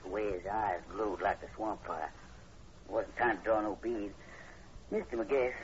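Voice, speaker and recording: A man's voice: on the low side, steady tone, normal speed, natural voice. An actor playing a timid, superstitious farm hired man with a rural Southern American accent in a 1940s radio horror play. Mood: wary, recounting. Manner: folksy, rustic, hesitant. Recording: muffled, noisy 1940s radio recording.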